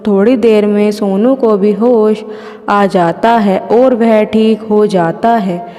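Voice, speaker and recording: A woman's voice: mid-range voice, steady tone, slow pace, warm tone. A woman narrating a scripted children's moral story as a storyteller. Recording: long hall reverb, faint music. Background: music.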